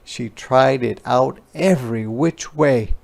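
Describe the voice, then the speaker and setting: A man doing an interactive picture-book read-aloud for young children, pausing to ask questions and explain words simply. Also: mid-range voice, creaky. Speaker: teacher reading aloud.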